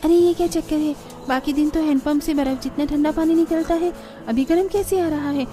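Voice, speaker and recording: A woman's voice: high voice, steady tone, fairly quick, mellow, natural voice. A woman voicing a shivering, grumbling young sister-in-law from a poor cartoon household, in a plaintive manner. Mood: surprised.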